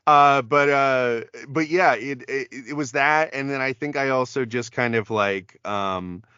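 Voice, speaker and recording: A man's voice: medium pitch, unhurried, pushed and bright, clean tone, dry recording, lo-fi sound. A man, a voice actor, chatting as a podcast guest, rambling with many fillers and restarts. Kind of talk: casual podcast interview.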